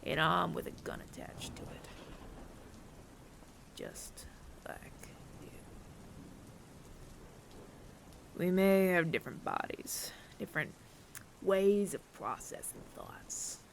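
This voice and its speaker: A man playing a weary, remorseful bounty hunter in an audio roleplay, speaking in hushed, breathy, deliberate phrases with heavy pauses. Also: high voice, heavily acted. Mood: somber, bitter. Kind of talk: dramatic ASMR audio roleplay monologue. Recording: clear rain noise behind the voice. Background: rain.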